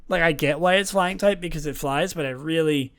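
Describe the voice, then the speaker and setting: Hoarse young man, rambling podcast host. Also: fairly high pitch, brisk, fairly bright tone. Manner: rambling, self-correcting, dryly sarcastic. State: hoarse, voice worn from talking all day. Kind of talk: casual solo podcast talk.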